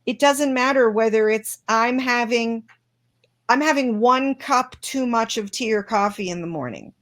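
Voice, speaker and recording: Woman interview guest, high-pitched, earnest and reflective, restarts often. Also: a little slow. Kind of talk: interview conversation.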